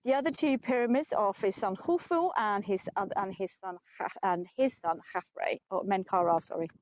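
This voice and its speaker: A woman's voice: medium pitch, moderate pace. A middle-aged English woman guiding a live walking tour outdoors, chatty and self-mocking, laughing often and sounding tired. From England. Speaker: tour guide. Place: outdoors on an open plateau. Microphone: phone. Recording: dull lo-fi phone stream.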